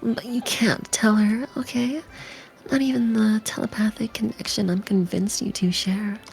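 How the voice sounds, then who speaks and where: A woman voicing a smitten game character confiding secrets in a hushed, breathy half-whisper. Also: medium pitch, fairly even intonation, normal speed, pitched above the performer's own voice, close to an everyday voice, poor audio. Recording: music behind the voice.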